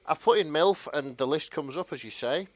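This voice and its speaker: A man co-hosting a podcast in casual chat, halting and stammering, mildly apologetic in manner. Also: a little high, animated, no echo. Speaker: podcast co-host.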